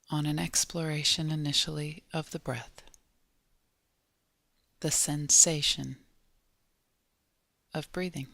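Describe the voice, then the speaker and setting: Female meditation guide: gentle, unhurried delivery with long pauses, noticeable vocal fry. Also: deep voice, dry recording, studio quality. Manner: gentle, measured, long pauses between short phrases. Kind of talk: guided body scan meditation.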